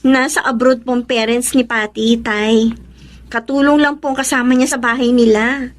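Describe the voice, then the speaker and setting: A woman's voice: a little high, fairly even intonation, moderate pace, close to an everyday voice. A woman playing a polite, eager-to-please schoolgirl daughter in a Filipino radio drama. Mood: cheerful, eager. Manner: polite, deferential to parents and elder brother. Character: naive, eager-to-please schoolgirl daughter, loyal to her friend.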